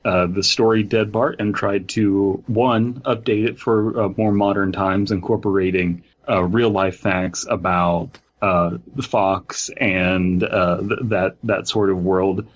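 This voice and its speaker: A man's voice: deep voice, fairly even intonation, slow pace, hardly any pauses, muffled recording. A man co-hosting a casual podcast, rambling with lots of fillers. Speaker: podcast co-host.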